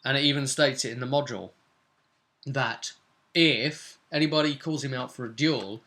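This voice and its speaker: A man's voice: mid-range voice, moderate pace, sharp tone. A man, a game master casually recounting a tabletop role-playing session in a chatty storytelling way. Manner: chatty, conversational storytelling with tag questions.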